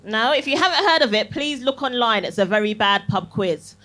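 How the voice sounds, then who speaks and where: A woman's voice: fairly high pitch, fairly quick, pushed and bright. A young woman from Barbados performing a cheeky stand-up set in a comedy club, teasing the crowd and laughing through her lines. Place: comedy club, live audience.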